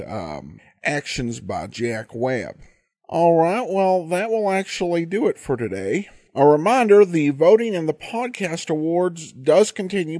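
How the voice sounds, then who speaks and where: A man hosting a podcast, wrapping up the episode in a relaxed, chatty way with frequent fillers. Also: on the high side, dry recording, studio quality. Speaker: podcast host. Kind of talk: casual podcast outro.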